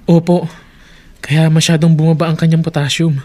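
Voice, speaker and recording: An actor playing a dutiful, gentle young son in a radio drama, speaking politely and with worry. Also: male voice, fairly high pitch, level tone, moderate pace, lightly acted. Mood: explaining, worried.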